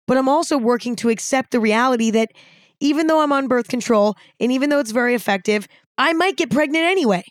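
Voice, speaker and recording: Raspy young woman, dry close sound. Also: high-pitched, quick pace, no room sound. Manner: frank, self-deprecating, casual swearing. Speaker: podcast host. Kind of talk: candid solo podcast talk.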